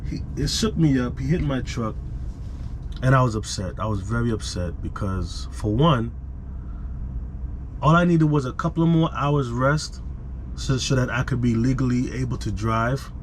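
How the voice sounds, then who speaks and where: A man's voice: mid-range voice, normal speed, a little hiss or hum. A man who vlogs about truck driving, telling a story casually, repeating phrases for emphasis, recorded on an action camera microphone. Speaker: vlogger, truck driver.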